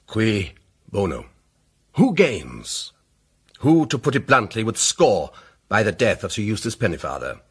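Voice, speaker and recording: An actor playing a pompous, self-assured English barrister sleuth in a radio drama, speaking with rhetorical, deliberate emphasis. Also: male voice, fairly low pitch, very animated, slightly rough, heavily acted. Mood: assured, oratorical. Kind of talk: radio drama, courtroom-like oratory.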